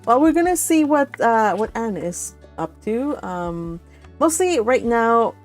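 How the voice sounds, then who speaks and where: A woman's voice: fairly high pitch, darkish tone. A chatty, encouraging woman hosting a casual group read-along livestream. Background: music.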